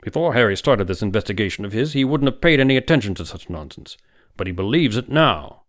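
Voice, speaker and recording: Man playing an emphatic older doctor, swooping pitch. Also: expressive, fast, lightly acted. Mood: musing, intense. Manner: emphatic, exclamatory, argumentative. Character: older male doctor, vehement, scheming, condescending psychiatrist. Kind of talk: audiobook character voice.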